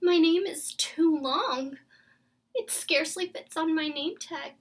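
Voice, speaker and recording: A woman voicing a sad, timid little girl from a storybook, plaintive, whiny and drawn-out in delivery. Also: very high voice, far from the mic, pitched above the performer's own voice, full character voice. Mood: miserable, plaintive. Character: sad, timid little girl mouse, miserable about her name.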